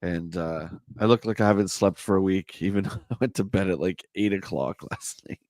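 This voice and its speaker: A man with a hoarse voice hosting a casual livestream, chatting and answering viewer comments. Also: low-pitched, a little fast, a little mellow, dry recording. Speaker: livestream host. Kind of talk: casual live chat reading a magazine.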